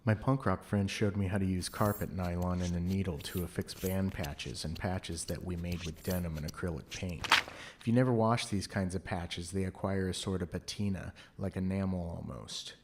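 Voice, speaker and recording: Low-voiced man narrating a brooding drama, noisy backdrop. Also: steady tone, normal speed, darkish tone. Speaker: narrator of an audio play. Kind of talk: theatrical first-person scripted narration.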